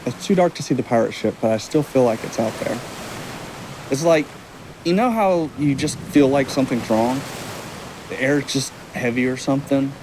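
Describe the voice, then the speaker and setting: A man's voice: mid-range voice, moderate pace, lightly acted. A man acting in an audio drama as a wistful, curious traveling stage magician, talking in a casual, musing way. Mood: pensive. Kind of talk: audio drama dialogue, naturalistic. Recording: steady sea and wave noise behind.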